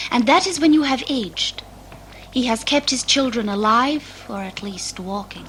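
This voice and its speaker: A woman playing a solemn, earnest seeker in an audio drama, measured and formal. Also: fairly high pitch, a bit bright, a little hiss or hum, low-quality recording.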